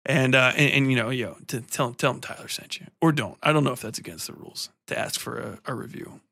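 Bright, forceful man hosting a podcast. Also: mid-range voice, a little fast, vocal fry, dry recording, clean studio sound. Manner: irreverent, profane, informal. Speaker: podcast host. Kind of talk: casual podcast outro, rambling plugs.

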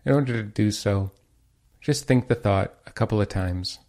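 A man leading a guided meditation with calm, measured instructions and pauses between phrases. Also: on the low side, creaky.

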